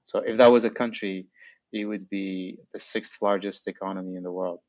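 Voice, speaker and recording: A middle-aged man from Algeria with a fairly low voice, answering as an interview guest. His speech is informal and conversational. The recording is dry and a little dull and lo-fi. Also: steady tone, moderate pace, a little mellow, no echo. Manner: informal, frequent filler "like". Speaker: podcast guest, startup founder.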